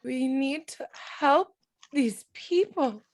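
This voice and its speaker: High, lively heroine read by a woman. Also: slow pace, no echo, full character voice. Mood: worried, earnest. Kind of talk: dramatic table read of a film script.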